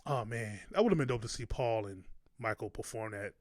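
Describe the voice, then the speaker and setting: A man's voice: on the low side, normal speed, dry recording, clean studio sound. A man hosting a reaction video, chatting casually in an informal, slangy, sentimental fan's way.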